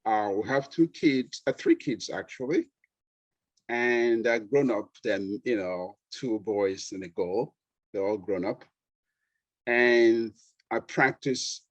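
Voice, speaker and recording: A middle-aged man from Nigeria with a Nigerian accent, a pediatrician guest talking relaxed, with fillers and easy laughs. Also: mid-range voice, slow, no echo, dull audio. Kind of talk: conversational interview.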